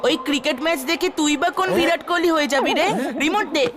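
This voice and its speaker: A woman acts out a small cartoon boy, a cheeky younger brother. The voice is high, with a sarcastic, pestering edge that is always ready to argue. Music runs behind. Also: fast. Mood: squabbling. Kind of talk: cartoon dub acting.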